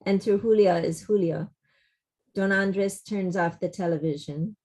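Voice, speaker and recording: A woman's voice: mid-range voice, a little slow, a little mellow. A woman reading stage directions as scripted narration, in a plain, descriptive delivery.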